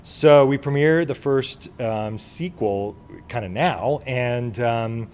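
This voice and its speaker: A man's voice: mid-range voice, slow, darkish tone, clear, resonant tone. A man speaking informally and with enthusiasm as the guest in a casual interview, explaining his work as a writer and producer.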